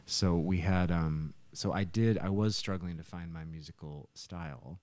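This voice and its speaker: A man in his fifties telling stories casually on a podcast, halting and emphatic as he goes. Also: low-pitched, a little mellow, dry recording. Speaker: musician and podcast co-host. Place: small home living room.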